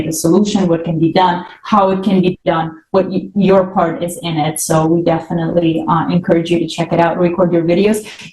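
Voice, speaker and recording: A woman's voice: fairly low pitch, darkish tone. A woman speaking on a panel, giving an informal recap to viewers. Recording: echoey room.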